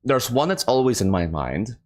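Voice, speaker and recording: A man's voice: mid-range voice, sing-song, normal speed. A man hosting a casual, improvised livestream, talking in an informal, rambling and slightly scattered way. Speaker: live streamer and host.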